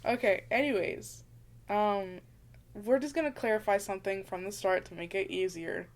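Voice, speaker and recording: A woman's voice: mid-range voice, normal speed. A young woman co-hosting a podcast in casual chat, with a slight lisp, mumbling a little and laughing often. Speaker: podcast co-host.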